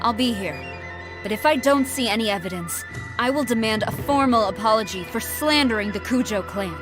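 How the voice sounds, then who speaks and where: A woman's voice: fairly high pitch, expressive, a little fast, music in the background, lightly acted. A woman playing a stern, proud, loyal army general in an anime video game, formal and commanding. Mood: indignant.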